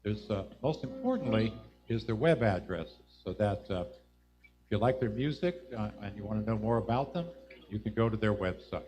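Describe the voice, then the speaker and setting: A man's voice: fairly low pitch, lively intonation, moderate pace. A man hosting a live music show, casually introducing acts from the stage. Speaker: host.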